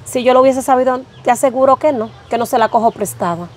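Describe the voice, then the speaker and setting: A woman playing an aggrieved, high, quick neighbor. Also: darkish tone, slight background noise, lightly acted. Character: upset female neighbor wronged over a loan.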